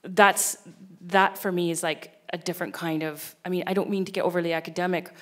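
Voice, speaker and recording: A woman panelist with a low voice talks on a stage into a handheld mic. She keeps a fairly flat, even tone and circles through her points, full of fillers and restarts. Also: brisk. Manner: thoughtful, circling with many fillers. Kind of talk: round-table discussion. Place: large dark stage with audience.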